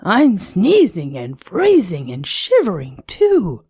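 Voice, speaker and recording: A woman's voice: medium pitch, wildly swooping pitch, slow pace, a little mellow, breathy edge, no room sound, pitched above the performer's own voice, full character voice. A woman voicing a lovable, cheerful, dramatic snowman who is forever cold, in a children's storybook read-aloud. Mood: shivering, complaining.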